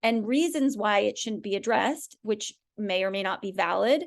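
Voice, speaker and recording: A woman's voice: mid-range voice, moderate pace, vocal fry. A woman speaking as an expert podcast guest, explaining in a conversational way and often checking in with the listener.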